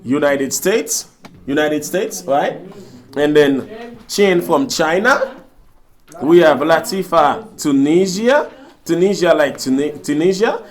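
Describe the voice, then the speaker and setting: A man with a fairly high voice and a Haitian Creole accent teaches a language class. A native Creole speaker, he works interactively, repeating short phrases and putting questions to the students.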